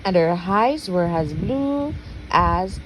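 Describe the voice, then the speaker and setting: A woman reading a storybook aloud as a parent would at bedtime, expressive and deliberate. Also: lowish voice, background noise.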